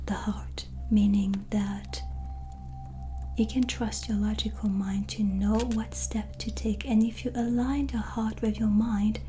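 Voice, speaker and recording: A non-native English-speaking woman gives a gentle, soothing tarot reading as a kindly witch, in a breathy, soft-spoken ASMR whisper. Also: medium pitch, level tone, a little slow. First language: not English. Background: soft relaxing music.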